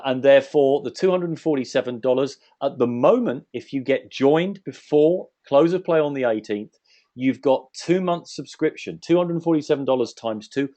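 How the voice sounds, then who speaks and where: A man's voice: medium pitch, warm tone. A man giving a methodical, explanatory sales presentation as a webinar presenter on an online call.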